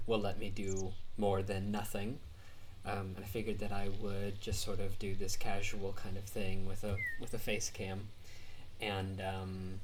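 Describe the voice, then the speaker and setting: A man, sick and raspy-voiced, casually commenting on a game as he plays, rambling with many ums and likes. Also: lowish voice, background noise. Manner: rambling, hesitant, lots of um and like. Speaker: gaming YouTuber. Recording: quiet voice under loud game music. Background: loud game music.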